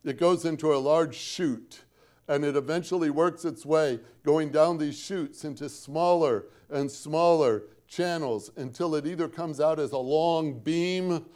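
A man's voice: a little high, measured pace, darkish tone. A man preaching a sermon with a Northwest Indiana accent, talking in a conversational, folksy storytelling way with dry self-deprecating asides and emphatic repetition of key points. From Northwest Indiana, USA.

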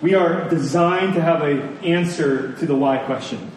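A man preaching a sermon as a pastor, conversational and earnest, with rhetorical questions and emphatic pauses. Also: a little high. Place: large room, preaching to a congregation. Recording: echoey room, distant mic, faint noise.

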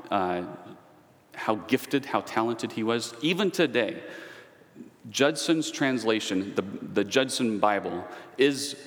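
A man giving a conversational history lecture. He wanders into asides and personal anecdotes as he teaches. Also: on the low side. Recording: long reverb, echoey hall.

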